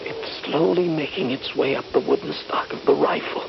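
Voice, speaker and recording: An actor playing a trapped, wounded Union soldier, frightened and bitter, with intense, deliberate dramatic phrasing in a radio drama. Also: male voice, fairly high pitch, gravelly. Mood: tense, frightened. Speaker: actor narrating and playing the lead. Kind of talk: radio drama acting with first-person narration. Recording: dull, noisy old radio broadcast.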